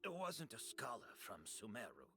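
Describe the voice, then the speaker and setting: An actor playing a weary, resolute man in a video game, speaking in a grave and deliberate dramatic style. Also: medium pitch, very animated, vocal fry, pushed and bright, faint music behind, exaggerated voice. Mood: grave, resigned.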